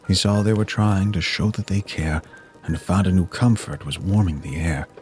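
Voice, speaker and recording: A man narrating a rhymed Christmas story in verse with a measured, solemn delivery. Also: sits low, darkish tone. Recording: music bed under the voice. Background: music.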